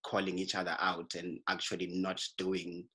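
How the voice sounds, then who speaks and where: A man with a low, husky voice hosts a casual podcast chat. His tone has a forceful, bright edge despite the depth. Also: monotone, normal speed. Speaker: podcast host.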